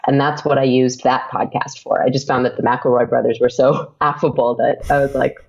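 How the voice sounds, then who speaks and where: Gravelly woman in dull lo-fi audio. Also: extremely low pitch, flat delivery, fairly quick. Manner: chatty, rambling, laughs often. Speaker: audio drama podcaster, guest. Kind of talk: casual interview chat.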